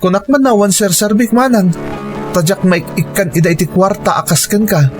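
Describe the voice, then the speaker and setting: A man's voice: high voice, normal speed, over music, close to an everyday voice. A man with a Filipino accent playing a worried, dutiful adult son in an earnest, emotional radio drama style. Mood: pleading, frustrated. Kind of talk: Ilocano radio drama acting.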